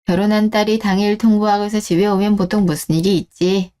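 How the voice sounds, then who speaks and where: A woman's voice: mid-range voice, little pitch movement, fairly quick, mellow, close to an everyday voice. A woman playing a caring, perceptive older father in a scripted radio drama. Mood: teasing, caring.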